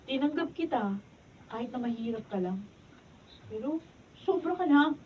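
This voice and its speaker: Petulant young filipina girlfriend in radio drama, high voice swinging up and down, sulky. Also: expressive, normal speed. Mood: sulky, reproachful. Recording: muffled, distant mic, noisy room.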